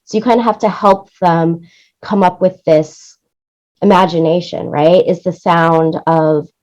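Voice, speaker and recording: A woman's voice: on the low side, steady tone, a little slow, a little mellow. A woman giving conversational interview answers as a guest, explaining things and often checking in with "right?" or "you know". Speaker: interview guest, music supervisor and producer. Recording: dull, lo-fi audio.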